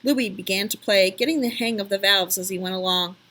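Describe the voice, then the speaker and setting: A woman narrating a children's story aloud in an expressive, emphatic storytelling style. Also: mid-range voice, a little fast.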